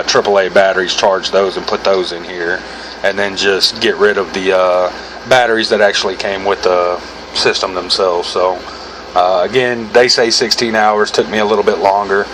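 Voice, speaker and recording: A middle-aged American man giving a casual, unscripted product review in an informal, rambling, self-correcting way. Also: on the low side. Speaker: gear reviewer hosting his own video. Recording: clearly noisy background hum.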